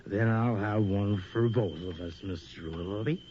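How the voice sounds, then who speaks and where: An actor playing a bitter, drunken manservant in a theatrical radio horror drama, muttering to himself. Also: male voice, lowish voice, normal speed, a little mellow, close to an everyday voice. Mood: bitter, gloating. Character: bitter drunken manservant, resentful, then terror-stricken by a ghost. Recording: muffled radio drama recording, faint noise.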